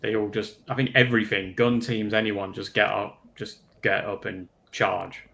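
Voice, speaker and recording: A man's voice: lowish voice, level tone, moderate pace, vocal fry, crowd noise. A man on a panel, chatting casually and asking questions about a hobby.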